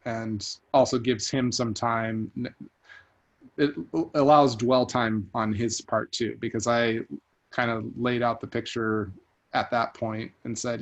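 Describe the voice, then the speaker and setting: Male rental host, even and monotone, measured delivery, casual call chat. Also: mid-range voice. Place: online group video call.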